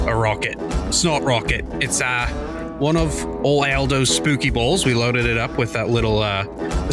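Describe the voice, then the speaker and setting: A man in the middle of a tabletop game, explaining at length with plenty of "uh"s, "like"s and laughs. His voice sits at an ordinary middle pitch. Music plays clearly in the background. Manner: rambling, laughing explanations with many fillers. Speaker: player. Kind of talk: playing a tabletop game, in character and out. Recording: music bed under the voices.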